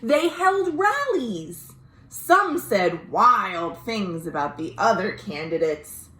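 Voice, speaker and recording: A woman librarian reading a story aloud to children in an expressive way, pausing to explain things simply. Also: medium pitch. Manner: expressive, addressing young listeners directly. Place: indoors at home. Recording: roomy, echoey home recording.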